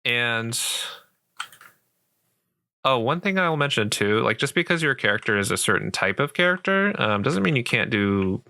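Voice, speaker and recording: A man playing a sweet, patient gamer boyfriend, softly and gently explaining a game in a casual, rambling roleplay. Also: mid-range voice, expressive, moderate pace. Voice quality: soft, creaky voice. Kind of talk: roleplay ASMR, casual improvised game tutorial.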